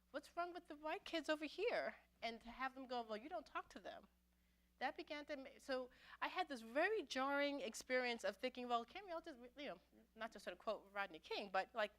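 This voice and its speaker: A middle-aged woman from Brooklyn, a pastor telling her own story to an adult forum in a conversational, reflective way, often pausing and restarting. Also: on the high side, fairly bright tone. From Brooklyn, New York City. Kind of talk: personal testimony talk. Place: church meeting room.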